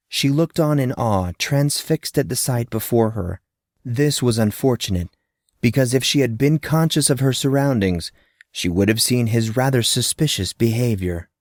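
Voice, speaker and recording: A man narrating a fantasy audiobook with expressive, lively storytelling. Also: medium pitch, dry recording, clean studio sound.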